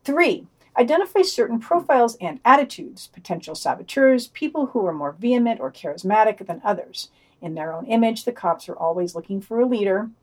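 Woman with lively, swooping intonation. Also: on the high side, brisk. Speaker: podcast host. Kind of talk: reading scripted news digest with asides.

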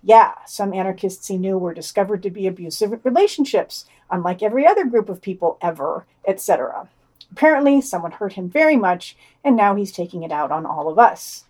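A woman's voice: medium pitch, animated, a little fast, a little mellow. A woman hosting a podcast, reading a scripted news digest with wry, emphatic, opinionated commentary. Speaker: podcast host.

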